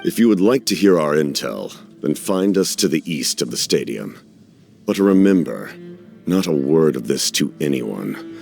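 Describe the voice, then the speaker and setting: A man playing a grave, calculating schemer in a video game, speaking formally and secretively with measured courtesy. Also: a bass voice, big pitch swings, creaky, a little mellow, soft background music, heavily acted. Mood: grave, persuasive.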